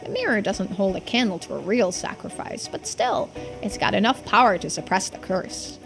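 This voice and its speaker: A woman's voice: mid-range voice, animated, normal speed, gravelly, over music. A woman voicing a folksy, earnest islander who explains old secrets in video game dialogue. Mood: solemn, explanatory.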